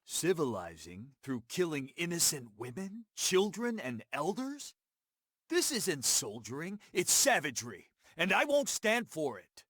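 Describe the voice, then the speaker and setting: A man's voice: on the high side, wildly swooping pitch, unhurried, fairly bright tone, dry recording, heavily acted. An actor playing a principled, defiant young American frontier man in a game cutscene, firm and earnest. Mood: defiant, indignant. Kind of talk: video game cutscene acting.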